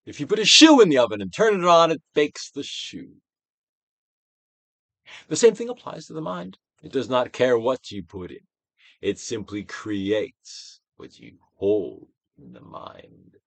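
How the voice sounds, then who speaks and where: A man reads a self-help audiobook as a dramatic actor would. His mid-pitched voice ranges widely, with emphatic declarations set against hushed phrases. The sound is dry, with very little room in it. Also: sing-song, a little slow, no room sound. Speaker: actor reading a book aloud.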